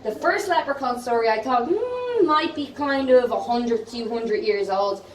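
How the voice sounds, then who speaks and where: An Irish woman with a fairly high voice leads a tour, talking to her group in a chatty way and checking in with them often. She sounds far from the camera, and the museum room around her echoes heavily. A faint hiss runs underneath. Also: lively intonation, a little slow. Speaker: tour guide. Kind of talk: guided tour talk to a group. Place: indoor museum exhibit.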